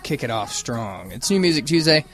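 A man's voice: mid-range voice, big pitch swings, normal speed, a bit bright, soft background music. A man co-hosting a radio show, still getting over a cold, teasing with dry humor. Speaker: radio co-host. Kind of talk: casual radio banter.

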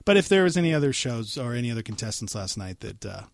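A middle-aged man co-hosting a morning radio show in casual banter, animated and chatty, a bit worn in the voice. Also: mid-range voice, fast talker, gravelly, no echo. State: losing his voice.

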